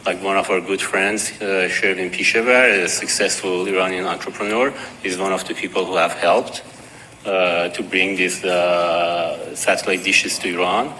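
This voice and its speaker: A man with a Persian accent giving a conference presentation, measured and dotted with hesitations. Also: low voice, steady tone, bright, forceful tone. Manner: measured, with frequent uh fillers and restarts. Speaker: conference speaker. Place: conference hall. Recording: long hall reverb, faint noise.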